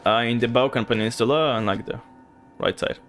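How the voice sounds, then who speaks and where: A man's voice: medium pitch, fairly even intonation, a little fast, a bit bright, soft background music. A man from Bulgaria with a light Bulgarian accent, a streamer chatting loosely with viewers and thinking aloud as he plays. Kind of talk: casual gameplay chat with viewers.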